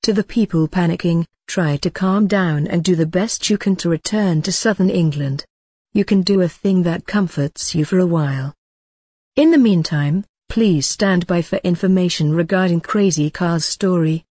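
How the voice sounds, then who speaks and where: A woman voicing a formal emergency broadcast announcer, reading a scripted alert in a grave, measured, deliberate way. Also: on the low side, mellow, husky, dry recording, studio quality.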